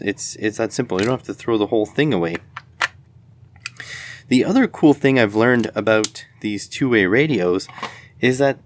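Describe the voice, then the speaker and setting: A man giving a casual, unscripted product demonstration in a rambling, matter-of-fact way. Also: mid-range voice. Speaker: video host, electronics product reviewer.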